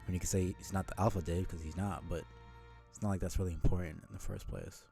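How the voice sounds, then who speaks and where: A man's voice: low voice, fairly even intonation, fast, creaky, dark tone, faint music behind. A man giving casual, rambling live-stream commentary in a blunt, sweary way. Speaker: streamer reading a webcomic aloud.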